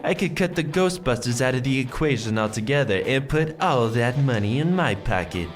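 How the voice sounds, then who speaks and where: A man's voice: medium pitch, lively intonation, normal speed, faint music behind, lightly acted. An actor playing an envious, cocky man with a sardonic, grumbling way of talking in an audio drama. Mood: scheming, cocky.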